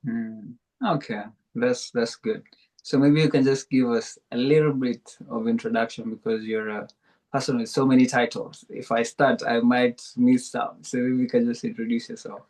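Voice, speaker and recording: A man's voice: mid-range voice, fairly even intonation, normal speed, darkish tone, rough recording. A man hosting a podcast interview, reading sponsor plugs and asking questions with lots of hesitant "um"s. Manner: hesitant, many "um"s. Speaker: podcast host.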